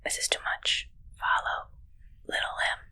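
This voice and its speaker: A woman voicing a bumbling shapeshifting alien who poses as a human doctor in an ASMR roleplay, whispering in halting, stilted broken English. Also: pushed and bright. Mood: curious, clinical. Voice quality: whispery, breathy.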